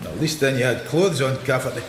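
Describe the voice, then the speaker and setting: An actor playing a wry, world-weary middle-aged Scottish police detective in a radio drama, with a dry, sardonic manner. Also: male voice, mid-range voice, lively intonation, fairly quick, lightly acted. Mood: sardonic, probing. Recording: noticeable background noise.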